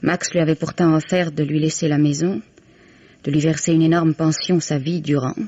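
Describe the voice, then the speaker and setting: An actress playing a composed, candid young mistress in 1950s French radio drama, with measured, formal diction. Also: female voice, low-pitched, fairly even intonation, warm tone. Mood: composed, confiding. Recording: dull, band-limited vintage radio recording.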